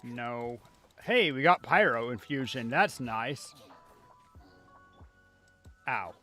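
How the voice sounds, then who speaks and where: A man streams a video game and comments casually on his own play. His voice sits at a middle pitch and he talks at a slow pace, often half to himself. Faint music plays behind him. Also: lively intonation, pushed and bright. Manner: casual, muttering self-talk while playing.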